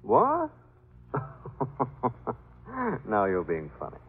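An actor playing a weary yet defiant wealthy young detective in a 1940s radio drama, on a tinny recording. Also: male voice, fairly low pitch, wildly swooping pitch, extremely slow, husky, heavily acted.

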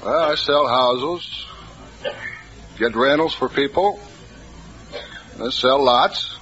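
A man's voice: medium pitch, takes it slowly, a bit bright. A man answering as a quiz contestant in a plain, earnest, matter-of-fact way on vintage radio. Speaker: quiz contestant, real estate agent. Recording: muffled old radio broadcast.

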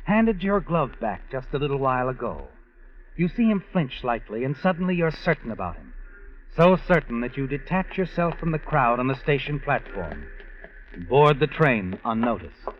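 An actor playing a knowing, ominous narrator who tells an old radio mystery to its heroine. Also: male voice, medium pitch, big pitch swings, moderate pace, lightly acted. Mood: knowing, suspenseful. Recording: dull vintage radio recording. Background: dramatic music.

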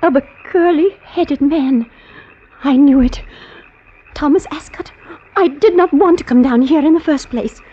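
An actress playing a frightened, insistent young sweetheart in an agitated old radio crime drama. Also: female voice, sits high, a little slow. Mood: accusing. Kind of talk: 1950s radio drama acting. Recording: dull old radio recording.

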